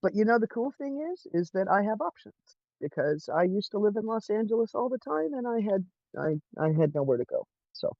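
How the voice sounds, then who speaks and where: A man from California with an American accent, chatting casually in a halting, hesitant way. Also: high voice, very animated, no echo, muffled recording. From California, United States. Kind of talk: casual chat.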